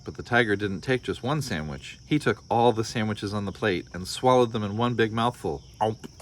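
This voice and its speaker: A man's voice: on the low side, moderate pace, slight background noise. An American man reading a children's story aloud, breaking off for wry, casual asides. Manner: wry, joking commentary. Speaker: storybook reader.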